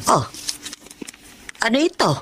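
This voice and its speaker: A woman's voice: slow pace, fairly bright tone. An actress playing an aging, kind foster mother in a radio drama, fussy and tender, with sighs over her sore back. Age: older.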